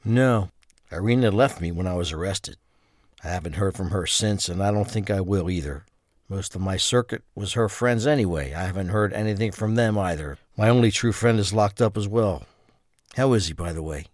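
A man's voice: deep voice, normal speed, dry recording, clean studio sound. A man playing a bitter, resigned middle-aged killer in prison, in a crime audio drama. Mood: downcast. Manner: resigned, indifferent.

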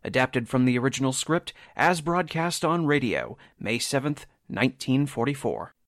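A man reads as a dramatic old-time radio announcer. His middle-pitched voice is very animated and emphatic, captured close and dry. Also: normal speed, dry recording. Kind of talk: 1940s radio announcing, scripted narration.

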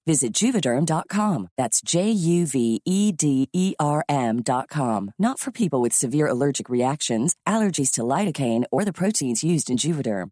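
Female announcer for a scripted commercial, mellow tone with lively intonation. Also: deep voice, no room sound, clean studio sound. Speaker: advertisement announcer. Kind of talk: scripted ad read.

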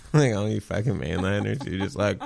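A young man from Newfoundland talks in a relaxed, casual way, with just a trace of the island's accent. His voice sits low but moves around a lot in pitch, and he delivers grim jokes completely deadpan before laughing. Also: normal speed, creaky, slight background noise. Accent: faint Newfoundland. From Newfoundland, Canada. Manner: deadpan dark joking, bursts of laughter. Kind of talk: casual chat.

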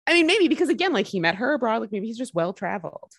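A woman's voice: on the high side, very animated, rapid-fire, no echo, clean studio sound. A woman co-hosting a podcast, chatting casually and jokingly about a film, with lots of fillers. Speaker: podcast co-host.

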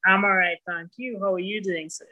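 A woman's voice: mid-range voice, fairly even intonation, a little fast, highs cut off. A woman in her thirties, a musician talking thoughtfully about herself as a guest in a casual interview. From Trinidad and Tobago.

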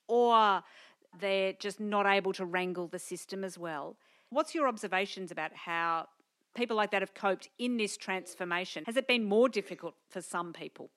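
Measured woman interviewer. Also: medium pitch, a bit bright. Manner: measured, inquisitive. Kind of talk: interview questions.

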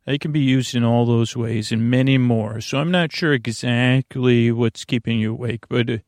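A man hosting a bedtime podcast in a creaky voice, rambling in a lulling, halting, meandering way. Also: medium pitch, steady tone, a little mellow, no echo. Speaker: podcast host.

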